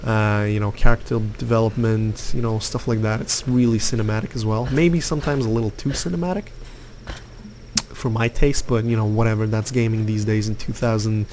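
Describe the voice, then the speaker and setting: A man's voice: lowish voice, fairly even intonation, a little fast, background noise. A young man from Lithuania giving rambling, opinionated commentary over his gameplay, with lots of fillers. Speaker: gaming youtuber.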